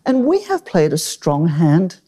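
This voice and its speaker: Low-voiced Australian-born woman pundit. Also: husky. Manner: measured, analytical, occasional animated emphasis. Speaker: panelist. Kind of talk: panel discussion commentary.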